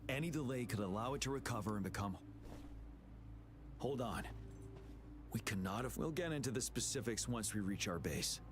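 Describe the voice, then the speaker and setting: An actor playing a composed, dutiful young general in a video game, speaking in a formal, measured, earnest manner. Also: male voice, medium pitch, expressive, background noise, natural voice. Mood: grave, urgent. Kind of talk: scripted video game dialogue. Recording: game music behind the voice.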